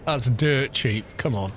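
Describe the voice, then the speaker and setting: A relaxed man with a middle-pitched baritone talks his way through a game on a live stream, muttering little asides as he goes. Game music plays clearly behind him. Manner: relaxed, muttering to himself between remarks. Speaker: gaming streamer. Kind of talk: casual live-stream commentary while playing. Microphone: studio microphone with low cut.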